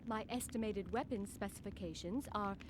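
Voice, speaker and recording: A composed female android speaking in measured, toneless sentences, as a woman voices her. Every word comes out exact and detached, like a machine reporting its status. A steady hum of noise sits under the game audio. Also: medium pitch, natural voice. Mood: flat. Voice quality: flat, precise, emotionless delivery. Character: female android combat system, calm and formal. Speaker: game character. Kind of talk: dubbed game cutscene acting.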